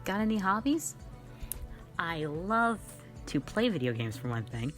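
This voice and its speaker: A woman's voice: lowish voice, big pitch swings, measured pace, soft background music. A young woman hosting a casual question-and-answer chat, giggly, rambling and playfully self-mocking. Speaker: online channel host and amateur voice actor.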